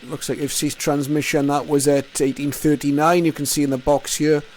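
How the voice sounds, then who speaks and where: A man's voice: fairly high pitch, flat delivery, moderate pace, a little mellow, slight background noise. A man giving casual, unscripted commentary as he tunes a radio, thinking aloud in short remarks. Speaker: radio hobbyist host. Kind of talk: casual screen-recorded tuning commentary. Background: radio music and signals from the receiver.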